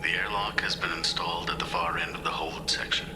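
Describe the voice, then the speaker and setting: A man playing an uneasy scientist recording a formal, brooding private log in a hushed voice for a video game. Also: deep voice, flat delivery, fairly quick, very noisy, close to an everyday voice.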